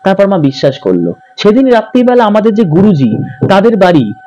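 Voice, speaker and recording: A man's voice: sits high, very animated, fairly quick, dark tone, dull audio. A man narrating in a dramatic, suspenseful ghost-story style as a channel host. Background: background music.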